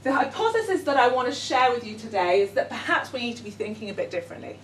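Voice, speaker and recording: A woman's voice: a little high, expressive, quick pace. A middle-aged woman with a London accent leading an educators' workshop, hesitant with many ums, friendly and self-deprecating. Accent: London English. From London, England. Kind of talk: conference workshop talk. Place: conference room with audience. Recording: echoey room, speaker far from mic, faint noise.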